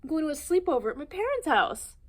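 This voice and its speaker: Woman voicing an excitable, grumpy young male chimp. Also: sits high, fast, pitched above the performer's own voice. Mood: excited.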